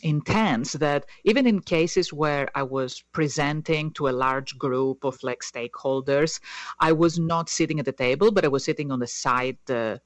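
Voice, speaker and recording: A woman's voice: sits low, moderate pace, dry recording. Woman in an interview, lots of ums and restarts, dry close sound. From Greece. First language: Greek. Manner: hesitant, many fillers and restarts. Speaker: guest. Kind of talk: casual interview conversation.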